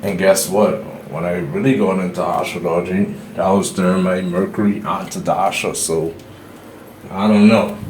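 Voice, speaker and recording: A man's voice: deep voice, fairly even intonation, moderate pace, a little mellow, slight background noise, poor audio. A man with a Bahamian accent, giving his own views in a solo video monologue, explaining with some restarts. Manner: opinionated, explanatory, a few restarts. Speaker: astrology YouTuber. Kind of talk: solo opinion monologue to camera.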